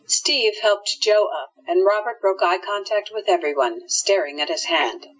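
Husky woman narrating a radio play. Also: medium pitch, moderate pace. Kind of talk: scripted audio drama narration. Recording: dull lo-fi recording.

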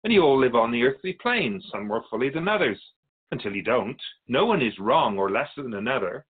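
Male actor as ancient spirit guide, gently didactic, measured phrasing, expressive pitch swings, philosophical radio drama. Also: slightly rough, narrow, tinny audio. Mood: calm, instructive. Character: otherworldly spirit keeper of souls, calm, wise, gently instructive. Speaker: interviewed guest in a radio drama.

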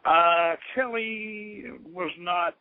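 Phone-line male radio host, halting and scholarly. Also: high-pitched. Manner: halting, rambling, scholarly storyteller. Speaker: host of an occult radio show. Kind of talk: informal explanatory talk. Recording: narrow, tinny phone-line radio sound.

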